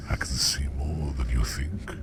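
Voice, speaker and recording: A man playing a curious, enigmatic sleep demon in a whispered ASMR roleplay, speaking in a hushed, deliberate and formal way with a breathy, gravelly voice. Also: very deep voice, very noisy, exaggerated voice. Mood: knowing, eerie. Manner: slow, deliberate, hushed, formal wording with repeated phrases. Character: curious, enigmatic male sleep demon who walks dreams.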